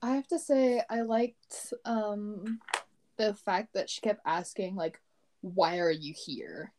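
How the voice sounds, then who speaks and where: A young woman in casual conversation about poetry, soft-spoken and often murmuring agreement. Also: medium pitch, slow pace, background noise. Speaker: co-host discussing poetry. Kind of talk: casual chat.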